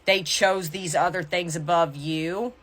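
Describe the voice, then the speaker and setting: Low-pitched woman giving a casual tarot reading. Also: fairly even intonation, measured pace, fairly bright tone. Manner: candid, conversational, emphatic asides. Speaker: tarot reader. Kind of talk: casual intuitive reading addressed to viewers.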